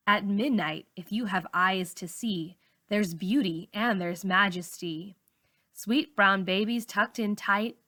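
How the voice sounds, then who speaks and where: Bright-voiced woman reading a story aloud. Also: mid-range voice. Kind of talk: expressive storybook narration.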